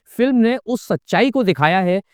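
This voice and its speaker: Man, high voice, lively swinging delivery, pointed commentary narration, dry sound. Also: moderate pace, clean tone, no room sound. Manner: pointed, emphatic, sarcastic asides. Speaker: political video essayist.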